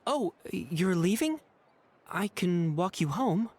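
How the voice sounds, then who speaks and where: A caring young friend from a video game. The gentle voice takes its time but swings widely in pitch, with faint noise behind. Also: female voice, very animated, takes it slowly. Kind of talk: video game character acting.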